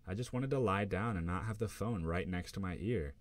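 A man's voice: deep voice, fairly quick, no room sound, close to an everyday voice. A man reading a hurt young girlfriend. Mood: pleading. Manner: pleading, defensive. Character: young woman girlfriend, gentle but hurt.

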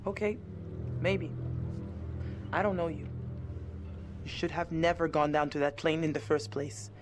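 A woman's voice: low voice, unhurried, over music. An actress playing a stern, superstitious young female boat captain in a video game. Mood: grave. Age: young adult. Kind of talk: naturalistic video game acting.

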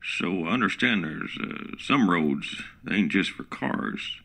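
A man's voice: deep voice, expressive, slow, sharp tone, exaggerated voice. A man voicing a folksy smart-home assistant with a country drawl, calling people partner. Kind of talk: comedic radio play acting.

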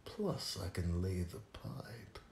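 A man playing a smooth-talking young ladies' man, reciting comic rhymes in hushed, suave patter. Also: low-pitched, sing-song, slow, reverberant, exaggerated voice. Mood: suave, boastful.

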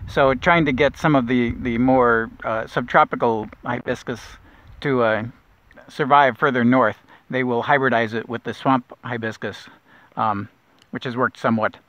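A middle-aged man guiding a kayak nature trip, explaining plants in a relaxed way with small restarts, recorded outdoors on a lake. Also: mid-range voice, normal speed, no echo. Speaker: naturalist guide. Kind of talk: outdoor nature walk narration. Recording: dull lo-fi outdoor audio.

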